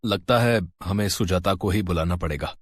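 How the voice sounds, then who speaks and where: A man's voice: sits low, normal speed, no room sound, studio quality, natural voice. A man voicing a cold, reproachful husband in a cartoon family story, blunt and dismissive. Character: cold, reproachful husband belittling his wife.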